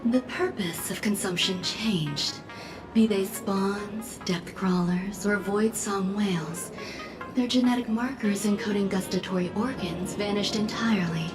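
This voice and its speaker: An actress playing a cool, secretive woman scholar in video game story dialogue, deliberate and enigmatic, with music behind her. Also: mid-range voice, close to an everyday voice, rough recording. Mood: intrigued. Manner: deliberate, enigmatic, dramatic pauses. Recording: music under the voice.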